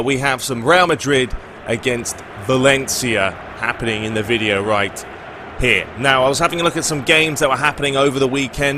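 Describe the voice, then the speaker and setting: A man's voice: mid-range voice, background noise. A man hosting a gaming video, chatting informally and giving his opinions as he answers questions in a casual commentary style.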